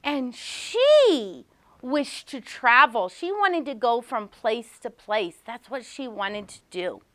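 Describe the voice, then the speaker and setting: A woman's voice: a little high, sing-song, takes it slowly, a bit bright, dry recording. A woman teacher reading a storybook aloud to young children, asking simple questions and repeating key words with emphasis. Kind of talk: interactive storybook read-aloud lesson.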